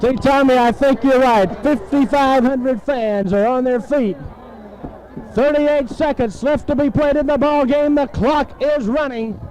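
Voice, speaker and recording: Male sports announcer, very high pitch, punchy short phrases, live play-by-play, vintage AM radio sound. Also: low-quality recording. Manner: excited, emphatic calling in short phrases. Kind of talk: live football play-by-play commentary. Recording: 1970s AM radio sports broadcast.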